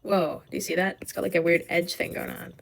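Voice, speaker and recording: A woman's voice: on the low side, flat delivery, brisk. Scratchy young woman rambling while drawing. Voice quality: scratchy, vocal fry. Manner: rambling, swears often, mutters to herself. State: voice giving out, hoarse. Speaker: art vlogger.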